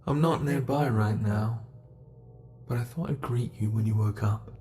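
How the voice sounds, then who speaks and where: An ancient male monster, acted by a man speaks very slowly, as a smug captor toying with prey. The delivery is theatrical and formal, teasing yet possessive, in the manner of an ASMR roleplay. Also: mid-range voice, a little mellow, soft background music, close to an everyday voice. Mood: teasing, sly. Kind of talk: ASMR roleplay, scripted monologue.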